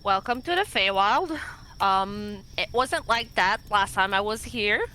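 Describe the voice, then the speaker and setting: A woman's voice: mid-range voice, expressive, unhurried, bright, forceful tone, a little hiss or hum, low-quality recording. A woman playing a tabletop game, speaking casually in character with hesitant, repeated phrases.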